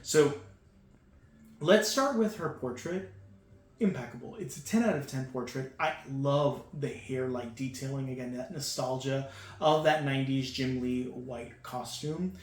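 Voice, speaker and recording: A man's voice: medium pitch, measured pace. Gushing man unboxing toys, echoey room. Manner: enthusiastic, gushing, with frequent fillers. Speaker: toy reviewer. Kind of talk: casual unboxing commentary. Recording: echoey room with faint hum.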